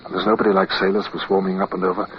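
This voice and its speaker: Man playing British naval officer, low voice, formal, earnest, composed, radio drama, dull old recording. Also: little pitch movement, a little hiss or hum, close to an everyday voice. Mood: earnest, respectful. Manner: formal, measured, clipped orders. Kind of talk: radio drama acting and first-person narration.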